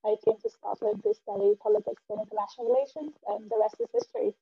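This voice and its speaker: A woman's voice: mid-range voice, fast, breathy edge, no echo, dull audio. A woman from Spain introducing herself in a friendly, lightly humorous way.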